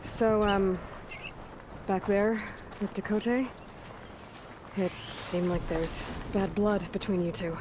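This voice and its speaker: A woman's voice: mid-range voice, fairly even intonation, slow pace, pauses often, background noise, lightly acted. An actress playing a blunt, determined young woman warrior with a direct, confident delivery in a video game. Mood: determined, dry. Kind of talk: video game cutscene acting. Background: game music.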